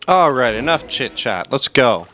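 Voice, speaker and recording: A man's voice: medium pitch, sing-song, unhurried, bright, forceful tone, slight background noise. A man giving casual live commentary while streaming his gameplay. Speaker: game streamer. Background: game music.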